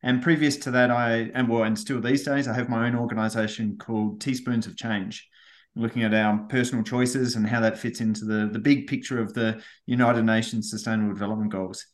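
An Australian man, a guest on a podcast interview, chatty and quick to repeat words as he gets going. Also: mid-range voice, flat delivery. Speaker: guest, head of sustainability.

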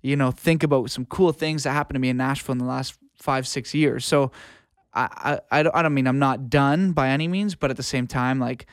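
A man's voice: mid-range voice, a little fast, clean tone, no echo, studio quality. A young North American man, an interview guest, talking in a casual, reflective, informal way. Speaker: interview guest, music producer and audio engineer. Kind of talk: casual chat.

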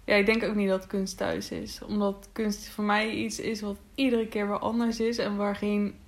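A woman's voice: medium pitch, flat delivery, moderate pace. A woman in reflective, casual conversation as an interviewer, thoughtful and tentative, often agreeing.

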